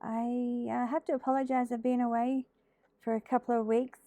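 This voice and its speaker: Middle-aged Australian craft host, mellow and a little throaty from a cold, rambling casually with plenty of ums. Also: female voice, a little high, level tone, normal speed, no echo. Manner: hesitant, lots of ums and uhs, chatty. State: slightly throaty from a head cold. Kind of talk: casual crafting tutorial chat.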